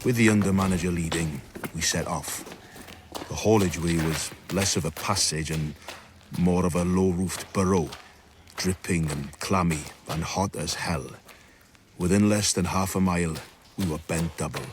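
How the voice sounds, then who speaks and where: An actor playing a wry, jaded doctor, narrating his memories in a measured way for a radio drama. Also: low voice, background noise, lightly acted. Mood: wry, reflective.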